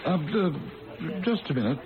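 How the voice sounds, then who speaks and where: An actor playing an awkward, fumbling boss who is eager to please, stammering and halting in a radio drama. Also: male voice, a little high, very animated. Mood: awkward, smitten. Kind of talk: vintage-style radio drama acting. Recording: tinny band-limited broadcast, crowd-like noise behind.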